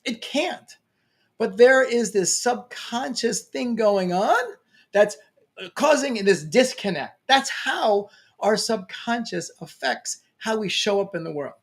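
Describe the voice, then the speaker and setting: Lively New York man giving a pep talk. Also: sits high, slow pace. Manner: energetic, emphatic, playful. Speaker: LinkedIn coach. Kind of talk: motivational talk to camera.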